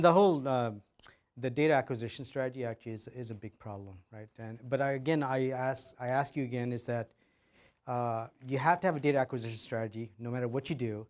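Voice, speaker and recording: A man's voice: mid-range voice, a little mellow. A man presenting at a workshop and answering questions, tagging his sentences with "right?" and "like". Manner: filler-heavy, keeps adding "right?". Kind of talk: conference Q&A.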